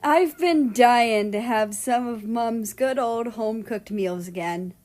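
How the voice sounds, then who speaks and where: A woman plays a young man in a storybook reading, casual and teasing, easily exasperated. The voice is fairly high for the part and slangy, with drawn-out, slow phrasing. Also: darkish tone. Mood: excited.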